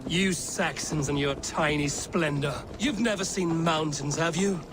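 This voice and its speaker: An actor playing a steady, poetic Viking warrior in a video game, speaking in a measured, dryly teasing and commanding way. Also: male voice, on the high side, noisy background, natural voice. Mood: wry, proud. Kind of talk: dramatic video game acting. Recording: music behind the voice.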